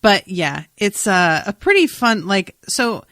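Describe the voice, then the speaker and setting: A woman's voice: medium pitch, slow, dry recording. Casual, sweary woman podcaster, dry close sound. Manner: informal, sweary, expressive storytelling. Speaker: podcast host. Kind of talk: casual chat, recapping a TV episode.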